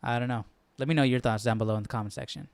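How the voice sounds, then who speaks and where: A man's voice: mid-range voice, steady tone, rapid-fire, noisy background. A man hosting a Bible study livestream, talking casually and thinking aloud in questions. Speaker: host of a live Bible study.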